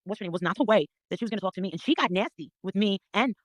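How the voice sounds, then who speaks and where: A middle-aged woman in a casual, gossipy chat, telling her story in a blunt, confrontational, animated way and swearing freely. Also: mid-range voice, racing, dry recording.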